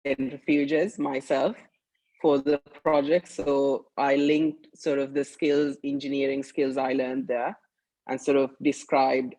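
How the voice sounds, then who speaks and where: A young man on a video call recalls his application, pausing and hedging with "sort of". His delivery stays flat and even, and the sound is dry, with no room around it. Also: medium pitch, dry recording, poor audio. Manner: hesitant, many "sort of" and "uh". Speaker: student panelist.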